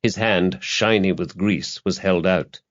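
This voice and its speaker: A man's voice: sits low, unhurried, highs cut off. A man reading a crime novel aloud as an audiobook narrator, in a scripted literary style.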